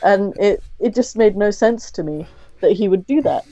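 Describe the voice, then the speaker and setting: A woman guest on a podcast interview, speaking candidly in a thoughtful, measured way with frequent pauses to think. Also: mid-range voice, expressive, warm tone, no room sound, dull audio.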